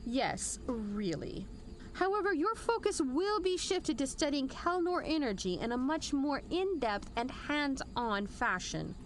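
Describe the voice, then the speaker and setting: A woman's voice: high voice, very animated, measured pace. A woman playing a stern military general in an audio drama, formal and authoritative with a dry, wry edge. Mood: commanding, measured. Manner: formal, authoritative briefing delivery. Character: stern, commanding female military general, measured and dryly wry. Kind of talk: scripted audio drama acting.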